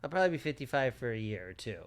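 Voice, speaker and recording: A man's voice: mid-range voice, big pitch swings, very fast, clear, resonant tone. A man in his forties, a plastic surgeon co-hosting a podcast, chatting casually in short repeated phrases. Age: 40s.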